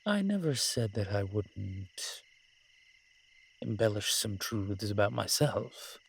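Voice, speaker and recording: A man playing a sly, worldly incubus demon in an audio roleplay, husky and sardonic, talking in an unhurried, conversational way. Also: lowish voice, animated, a little hiss or hum, full character voice. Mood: sly, teasing. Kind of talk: dramatic character roleplay acting.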